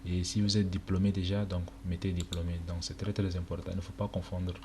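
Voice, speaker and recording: Low, flat-voiced young man from Chad. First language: Arabic. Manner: casual, halting, many fillers. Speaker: tutorial presenter. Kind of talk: screen-recorded walkthrough. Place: indoor room at a computer. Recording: noisy home recording with keyboard clicks.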